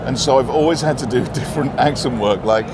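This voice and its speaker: A man, an actor, chatting in an interview in an animated, joking, self-mocking way. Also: mid-range voice, a little fast. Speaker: actor guest. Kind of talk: casual interview chat. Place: busy convention. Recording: noisy convention floor.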